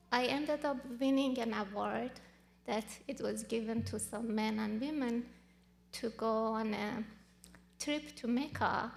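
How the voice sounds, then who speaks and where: A woman in her thirties from Iran, whose first language is Farsi, telling her own story in an interview, earnest and halting with many fillers. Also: a little high, in a large hall. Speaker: guest. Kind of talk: personal testimony interview.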